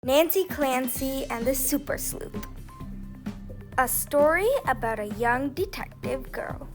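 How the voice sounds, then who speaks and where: A woman reading a children's book aloud in an animated storytelling style. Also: sits high, slow, music in the background.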